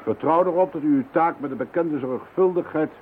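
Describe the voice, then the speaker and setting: An actor playing a senior police officer in a radio play, dryly humorous, measured and formal. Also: male voice, on the high side, narrow, tinny audio, close to an everyday voice. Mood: mock formal. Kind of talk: radio drama acting. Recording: thin radio recording with faint noise.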